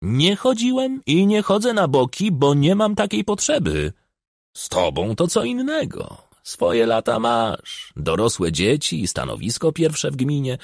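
Man acting a mocking villager, pitched way up. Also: vocal fry, no echo, clean studio sound, exaggerated voice. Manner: taunting, earthy, emphatic. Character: blunt, mocking village man needling his neighbour.

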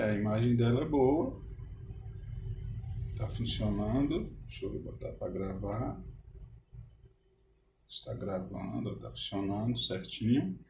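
A middle-aged man with a Brazilian accent presents a product in casual vlog style. He is indoors, picked up quietly by a phone a little way from him. His voice is of average pitch. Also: creaky, noisy background. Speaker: vlogger showing a new camera. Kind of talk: casual unboxing chat. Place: indoors, room at home.

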